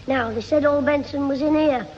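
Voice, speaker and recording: A man's voice: very high voice, fairly even intonation, moderate pace. A man playing a cheeky, scheming English schoolboy with a Lancashire accent in a 1960s radio comedy, full of wheedling backchat. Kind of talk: 1960s radio sitcom acting. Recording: reverberant, muffled, hissy 1960s radio recording.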